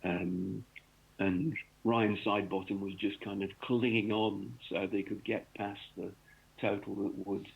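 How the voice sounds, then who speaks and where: Raspy low-voiced guy on a phone line, rambling with lots of ums. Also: flat delivery, a little slow. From North East England. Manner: rambling, full of ums and restarts. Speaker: cricket author, guest. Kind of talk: conversational interview on radio.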